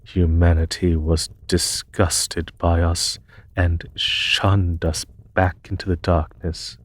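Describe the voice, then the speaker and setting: A man playing a solemn, wistful demon emperor in an audio roleplay, speaking in a husky voice with slow, measured, sorrowful pauses. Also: sits low, level tone, full character voice. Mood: bitter sorrow. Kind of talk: scripted audio roleplay monologue.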